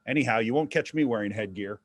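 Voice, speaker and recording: A man's voice: medium pitch, quick pace, no echo, natural voice. An actor playing a mocking, crass ghost of a father in a theatrical play reading, needling and dry.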